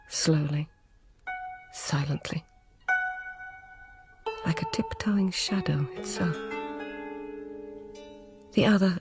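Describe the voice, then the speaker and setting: A poetic lady of an old Japanese court in a radio drama. Her voice is low with a creaky edge, hushed and deliberate. Soft music plucks behind her. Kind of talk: radio drama acting and narration.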